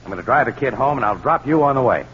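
An actor playing a wry, kind-hearted newspaper photographer, casual and slangy, with an American accent in 1940s radio style. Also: male voice, medium pitch, lively intonation, very fast, natural voice. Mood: kindly, persuasive. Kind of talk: 1940s radio drama acting. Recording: dull, very noisy 1940s radio recording.

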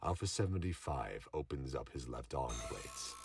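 Very deep-voiced male narrator, distant mic. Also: animated, normal speed, vocal fry, over loud music. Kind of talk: scripted audio drama narration. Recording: distant mic with background noise.

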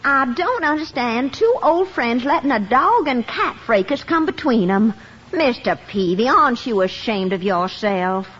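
An actress playing a flustered, flirtatious widow with a coquettish, fluttery way of talking, in 1950s radio sitcom acting. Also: female voice, a little high, animated, measured pace, a bit bright, breathy edge, exaggerated voice. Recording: muffled 1950s radio broadcast.

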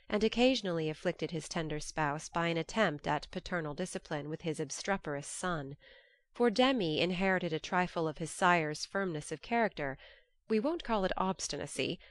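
A woman with a fairly low voice reads literary narration as an audiobook narrator. The recording is close and dry. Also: no echo.

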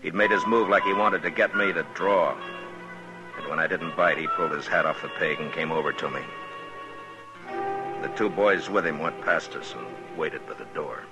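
A stern frontier marshal with an extremely low voice and few words. The delivery is understated and deadpan, in classic 1950s radio western style, with loud music in the vintage recording. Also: male voice, moderate pace, rough recording. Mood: grim narrating. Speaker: lead actor and first-person narrator.

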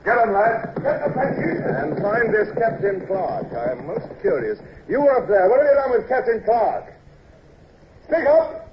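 An actor playing a brisk, blustering but humane British naval commodore in a radio drama, with an authoritative, clipped, theatrical delivery. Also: male voice, medium pitch, lively intonation, close to an everyday voice. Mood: excited, eager. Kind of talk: old-time radio drama acting. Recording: dull, noisy old radio recording.

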